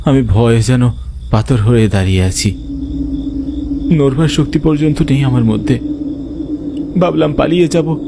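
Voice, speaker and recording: A man's voice: mid-range voice, moderate pace, gravelly, long pauses, noisy background. A man telling a horror story in dramatic first-person narration.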